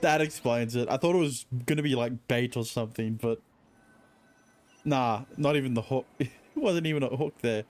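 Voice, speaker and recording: A man's voice: medium pitch, normal speed, a little mellow. A man streaming a game, reading the story aloud and joking between lines. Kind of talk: reading game dialogue aloud with casual commentary. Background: game music.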